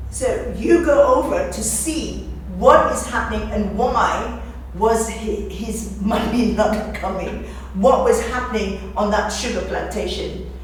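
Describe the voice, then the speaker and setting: A very reverberant, distant recording with faint noise carries a woman's somewhat high voice. She speaks as if giving an informal talk, conversational yet emphatic, often repeating a phrase or posing a rhetorical question. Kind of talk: informal lecture with readings from a novel. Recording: very echoey room, distant mic, faint noise.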